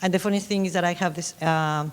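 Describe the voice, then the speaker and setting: A woman's voice: fairly low pitch, steady tone, fast talker, clear, resonant tone. Hesitant Mexican woman in a reverberant hall. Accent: Mexican Spanish. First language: Spanish. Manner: searching, hesitant phrasing. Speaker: panelist author. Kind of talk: panel discussion. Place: lecture hall.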